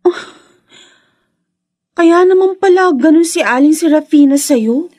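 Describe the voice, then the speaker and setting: An actress playing a caring, supportive young woman in a radio drama, husky and sympathetic. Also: high voice, a little slow, mellow, no echo, studio quality. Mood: concerned, consoling. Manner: consoling, sympathetic. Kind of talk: Tagalog radio drama acting.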